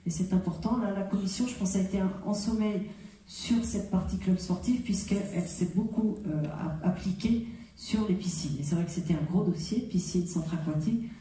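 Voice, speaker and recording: Woman politician, very dark voice, echoey room. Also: medium pitch, normal speed, a little hiss or hum. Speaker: local politician and mayoral candidate. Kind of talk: semi-improvised campaign speech at a public meeting. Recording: very echoey room, distant muffled mic.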